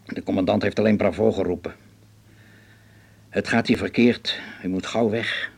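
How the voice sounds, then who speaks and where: Radio drama part: guarded, fatherly older man, low husky voice, formal and measured. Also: fairly even intonation. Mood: worried, guarded. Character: cautious, grave older German husband, fatherly and worried.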